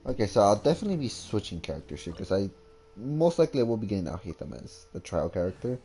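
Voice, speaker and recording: A man's voice: lowish voice, sing-song, fast, dark tone. A man giving casual, rambling, hesitant game commentary as a streamer. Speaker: gaming streamer. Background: faint game music.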